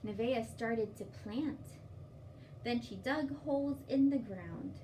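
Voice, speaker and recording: Woman, storytime host, unhurried, mellow tone, simple instructive read-aloud for children, distant mic with faint noise. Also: a little high.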